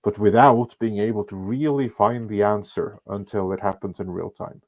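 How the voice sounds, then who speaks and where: A man commenting on a casual panel, speaking in a measured, deliberate way with halting phrasing. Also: lowish voice, steady tone, muffled recording. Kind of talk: casual film news discussion.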